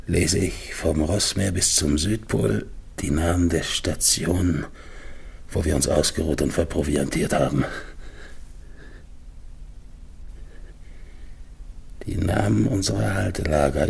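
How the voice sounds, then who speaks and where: A dying polar explorer in a radio play, super husky and creaky, halting, despairing, talking to himself. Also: male voice, low voice, a little mellow, exaggerated voice. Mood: weary, solemn. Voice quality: husky, gravelly. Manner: halting, repeats words, swings between murmurs and desperate calls. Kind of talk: German radio play monologue.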